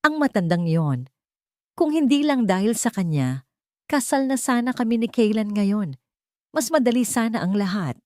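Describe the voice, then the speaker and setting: A woman's voice: fairly high pitch, expressive, moderate pace, darkish tone, no room sound, studio quality, pitched above the performer's own voice, natural voice. A woman voicing a scheming, bitter socialite in an audio story, arrogant and mixing Tagalog with English.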